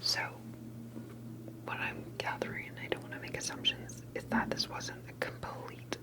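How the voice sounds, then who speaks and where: Woman whispering an ASMR interview roleplay. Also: rough recording. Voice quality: soft breathy whisper. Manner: gentle, careful questioning with murmured confirmations.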